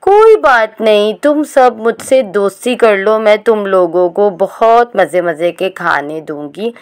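A woman voicing a kind, motherly female sparrow in a cartoon story, speaking softly and coaxingly. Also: mid-range voice, expressive, a little slow, clean tone, lightly acted.

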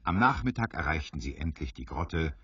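A man narrating an adventure radio play as an unhurried, knowing storyteller. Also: a bass voice, very animated, bright, forceful tone, breathy edge, dry recording, muffled recording, exaggerated voice. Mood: suspenseful.

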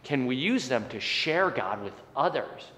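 Earnest pastor in a reverberant church. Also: male voice, medium pitch, sing-song, unhurried, a bit bright. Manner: earnest, rising and falling emphasis. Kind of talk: sermon and prayer. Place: church sanctuary, indoors. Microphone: fixed camera at chest height. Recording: long church hall reverb.

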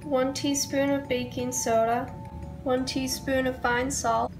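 A woman's voice: sits high, flat delivery, slow, music in the background. A young woman who is sick with a cold, giving a casual baking tutorial in a home kitchen. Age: young adult. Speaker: baking vlogger. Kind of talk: casual recipe tutorial narration.

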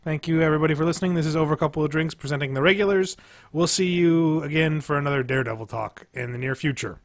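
A young man hosting a casual video-call podcast, laughing often and pausing with fillers, talking through a headset from a small room. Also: on the high side, dry recording, clean studio sound. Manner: relaxed, laughing, hesitant with fillers. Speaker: podcast host. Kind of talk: casual chat reviewing a TV episode.

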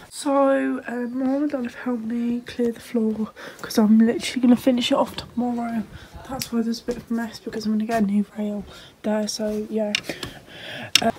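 A teenage girl chatting casually in a home vlog from her bedroom, rambling with plenty of fillers. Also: a little high. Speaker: vlogger. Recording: noisy home video.

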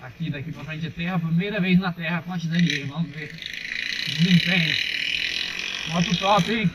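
A man's voice: a little high, moderate pace. A young Brazilian man chatting casually to his viewers while riding a motorcycle on a dirt road, recorded on a helmet camera. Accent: Brazilian Portuguese. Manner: chatty, addresses viewers as "rapaziada". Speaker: motovlogger. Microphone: helmet-mounted action camera. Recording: muffled helmet camera with engine and wind noise.

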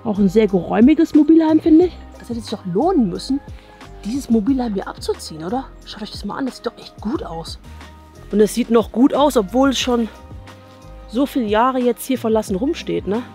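A woman's voice: fairly high pitch, lively intonation, fairly quick, warm tone, faint music behind. A woman in her thirties with a German accent, vlogging as she walks through an abandoned campsite outdoors, musing aloud and often asking viewers to agree. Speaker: urban exploration vlogger. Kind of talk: casual walking commentary to viewers. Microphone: action camera worn on chest.

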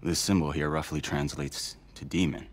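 A middle-aged man playing a composed, courteous businessman in a game cutscene, measured and quietly grave. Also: rumbling low, steady tone. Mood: grave, cautioning. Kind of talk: naturalistic video game cutscene acting.

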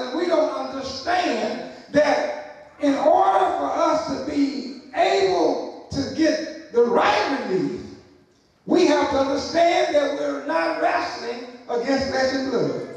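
Middle-aged male pastor, high voice, slow and deliberate delivery, captured from afar in a reverberant sanctuary. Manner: preaching with rising, shouted emphasis and call-outs to the congregation. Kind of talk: sermon. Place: church sanctuary, large, indoors. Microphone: pulpit microphone, far camera. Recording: very echoey church hall from a distance.

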